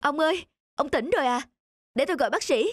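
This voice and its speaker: A woman voicing an anxious, plaintive young man down on his luck in an animated moral story, with music behind. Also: sits high, fast talker, dry recording. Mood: flustered.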